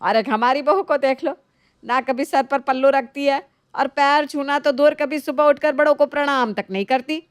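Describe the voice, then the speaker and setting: A very high-voiced, older mother-in-law played by a woman from a cartoon moral tale, recorded dry and close. She nags and gushes in an exaggerated, theatrical manner. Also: normal speed, dry recording, close to an everyday voice. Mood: complaining. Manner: exaggerated, complaining, effusive. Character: nagging older mother-in-law, gushing over niece, scolding her own. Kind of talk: animated moral story dialogue.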